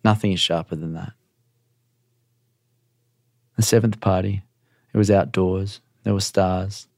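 Male narrator for confessional short fiction: very low, creaky register, measured phrasing. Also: fairly even intonation, darkish tone, no room sound, clean studio sound. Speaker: storyteller reading own short story. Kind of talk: literary first-person narration.

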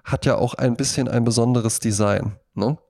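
Male podcast co-host, average pitch, loose rambling delivery that circles and repeats words. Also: fairly even intonation, normal speed, vocal fry, a little mellow. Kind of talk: casual podcast chat.

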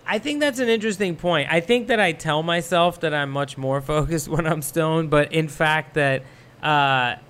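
A young man who grew up in Thailand, talking as a guest in a casual chat, rambling and relaxed with many fillers. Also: fairly high pitch. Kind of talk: casual podcast conversation.

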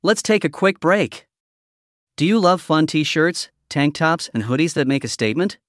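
Dry, higher-pitched male ad presenter. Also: expressive, moderate pace, no room sound. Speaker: presenter reading a sponsor ad. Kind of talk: scripted advertisement.

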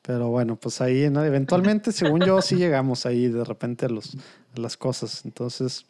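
A man co-hosts a podcast in a rambling, light-hearted way, poking fun at himself. His voice is mellow and warm, at a middle pitch. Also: animated, moderate pace. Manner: rambling, light, self-deprecating. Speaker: podcast co-host. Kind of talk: casual chat.

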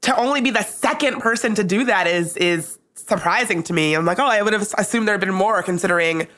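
A woman co-hosting a casual podcast while sick, sounding sniffly and raspier than usual, talking in an animated, rambling way. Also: mid-range voice, a little fast. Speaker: podcast co-host.

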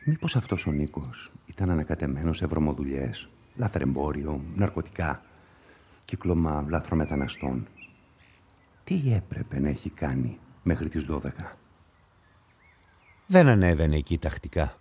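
Police inspector, low dark voice, sceptical, dryly ironic, questioning, radio play. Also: male voice, expressive, pauses often, a little hiss or hum. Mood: suspicious, then probing, ironic. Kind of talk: radio play acting and monologue.

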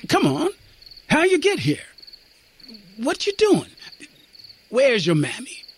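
A man voicing a big, gruff but kindly male army sergeant, speaking in a folksy drawl. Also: huge pitch swings, takes it slowly, slight background noise, exaggerated voice. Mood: startled, bossy. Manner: folksy drawling dialect, booming then gentle.

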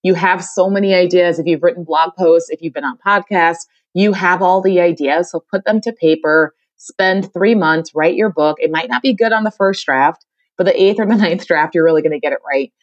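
A woman's voice: lowish voice, moderate pace, no room sound, dull audio. Emphatic Caribbean-raised woman guest. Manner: energetic, emphatic, repeats phrases. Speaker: guest, diversity consultant. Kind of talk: podcast interview.

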